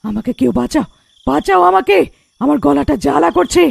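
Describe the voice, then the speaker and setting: A menacing cartoon witch, acted by a woman, with a high voice full of heavy gravel. She craves liquor and stretches her words into threats and wails. The sound is dry and close. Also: expressive, no echo, full character voice. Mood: panicked wailing. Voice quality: creaky, gravelly. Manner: drawn-out, chanting threats and wailing. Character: menacing liquor-craving village witch, female. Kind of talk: cartoon fairy tale acting.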